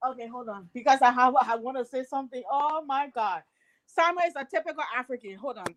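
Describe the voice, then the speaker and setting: A woman's voice: high voice, quick pace. A woman from Liberia calling in on a video call and emphatically sharing her opinions. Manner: emphatic, gives opinions, laughs often. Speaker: caller. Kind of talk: casual opinionated chat.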